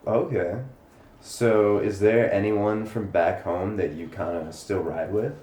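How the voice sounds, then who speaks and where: Low-voiced guy interviewing, echoey room. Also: steady tone, slow pace, warm tone. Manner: slangy, laid-back agreement. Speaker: interviewer for a music channel. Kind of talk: casual chat interview. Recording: roomy echo, faint background noise.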